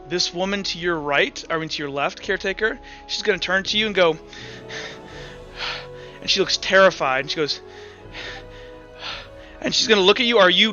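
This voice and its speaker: A man narrates a tabletop roleplaying game as its game master. His voice is bright and a little high, his improvised storytelling casual and halting. The recording is slightly dull, with faint music underneath.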